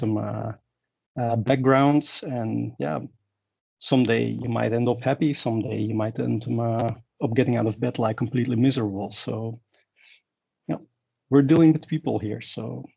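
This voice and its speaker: A man's voice: mid-range voice, gravelly, dark tone, slightly rough. A man from Belgium, giving a conference talk in a rambling way with many fillers and restarts. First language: Dutch. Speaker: conference speaker. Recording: dull lo-fi sound.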